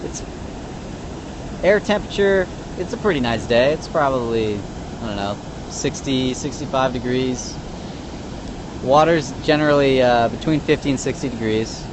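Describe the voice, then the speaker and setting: A man's voice: medium pitch, animated, moderate pace, pauses often. A man from Northern California working as a park ranger, explaining casually and laid-back on a live stream from an outdoor viewing platform, with lots of you know. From North Bay of California. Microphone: phone live-stream. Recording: outdoor noise behind the voice.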